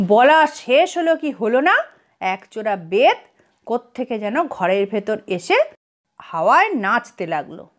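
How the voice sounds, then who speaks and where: A woman reads a comic story aloud with a high, very animated voice. Her delivery is scripted yet expressive, with a dramatic storyteller's way of shaping each phrase. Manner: dramatic storytelling, acts out characters' lines. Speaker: audio story narrator.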